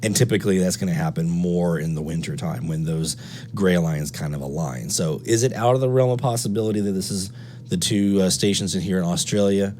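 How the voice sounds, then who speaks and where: A deep-pitched man with an American accent talks through a technical explanation as a hobby host. He is methodical and unscripted, restating his main points. A light noise and hum sit behind his voice. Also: brisk. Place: indoor room at a radio desk. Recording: steady background noise and hum.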